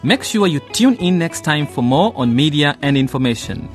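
A man's voice: fairly high pitch, very animated, normal speed, faint music behind. A man giving scripted narration as the announcer of a radio drama.

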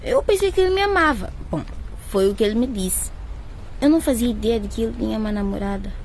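Radio soap schoolgirl: high voice, caring, naive, anxious. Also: expressive, quick pace, darkish tone, slight background noise. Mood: regretful. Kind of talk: radio soap opera acting.

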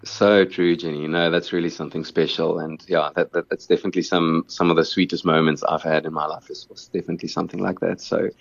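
A man's voice: sits low, flat delivery, fast, vocal fry, few pauses, breathy edge, dry recording, lo-fi sound. A South African man whose first language is Afrikaans, a musician answering interview questions in an earnest way, often repeating words while he thinks.

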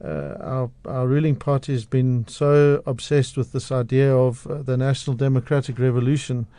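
A man from South Africa gives his views as a radio studio guest. His voice is mellow and the sound is dry and close. He speaks with conviction and often restarts sentences. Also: medium pitch, normal speed, no room sound. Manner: passionate, outspoken, with frequent restarts. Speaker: radio guest, media analyst. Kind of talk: radio interview. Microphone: studio microphone.

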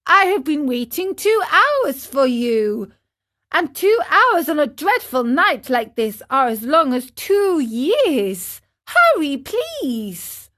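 Storybook puppet boy, played by a woman: high, excitable, swooping delivery, impatient and pleading. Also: slow pace, heavily acted. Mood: desperate pleading. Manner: pleading, exclamatory. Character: wooden boy marionette, impatient, pleading, hot-tempered. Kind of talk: children's storybook character voice.

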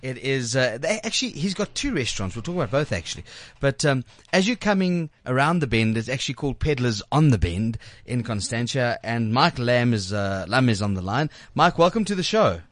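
South african guy hosting radio, lowish voice, dry studio sound, kinda stop-start chat. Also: sing-song, a bit bright, dry recording. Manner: halting, repeats words as he goes. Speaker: radio show host. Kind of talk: casual radio interview chat.

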